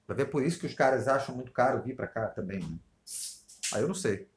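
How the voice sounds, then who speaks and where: Brazilian dude chatting on a podcast, mid voice that swings up and down a lot, tosses out questions. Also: male voice, mid-range voice, lively intonation, quick pace. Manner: conversational, asks questions, backs up points with short agreements. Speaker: podcast co-host. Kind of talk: casual chat.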